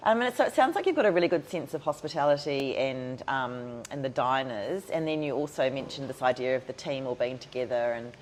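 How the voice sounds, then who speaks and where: A woman with a New Zealand accent hosting an interview, affirming her guest warmly and restarting her questions as she goes. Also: rumbling low, brisk, few pauses, slight background noise. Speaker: interviewer, podcast host. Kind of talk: friendly conversational interview.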